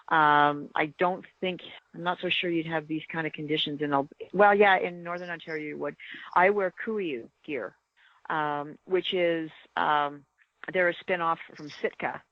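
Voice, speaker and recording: A woman's voice: sits low, normal speed, highs cut off. A woman speaking earnestly and emphatically from experience, giving practical advice in a casual panel chat. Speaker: podcast guest, experienced hunter.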